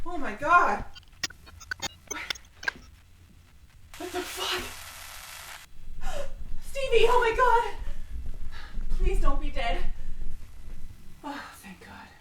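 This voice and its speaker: A woman acts out a high-voiced, alarmed young woman in a naturalistic fiction podcast. She sounds far from the microphone in an echoey room. Clear noise runs through the recording. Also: bright, forceful tone, heavily acted. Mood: panicked, distraught. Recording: distant, echoey, noisy room.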